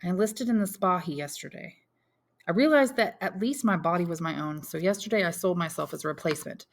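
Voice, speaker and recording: A woman's voice: fairly low pitch, brisk. A woman voicing an earnest, resolute young man who firmly reassures his mother in an audiobook. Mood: earnest, bright. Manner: firm, reassuring, insistent. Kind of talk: audiobook character dialogue.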